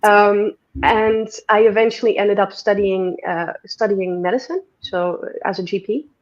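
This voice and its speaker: A woman's voice: mid-range voice, steady tone, measured pace, muffled recording. A woman with a Dutch accent, chatting as an interview guest, rambling with many fillers and restarts. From Netherlands. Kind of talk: casual interview conversation.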